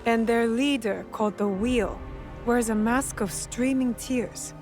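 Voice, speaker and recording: A woman's voice: a little high, a little slow, darkish tone, faint music behind, natural voice. An actress playing a young female fighter in a video game, sly and brash, with a teasing, playful manner. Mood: brisk, intent. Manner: mocking, playful. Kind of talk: video game cutscene acting.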